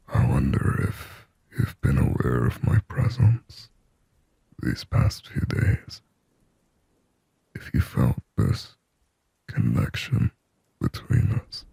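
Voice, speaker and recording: A man acts out a creepily tender male demon from an ASMR horror roleplay. The voice is extremely deep and full of creak, mostly sinking into a dark, breathy whisper. He speaks haltingly and intimately, possessive yet shy, in very dry close sound. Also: no echo, heavily acted. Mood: longing, possessive. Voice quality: breathy, husky whisper with low gravelly creak. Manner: slow, halting, hushed, intimate.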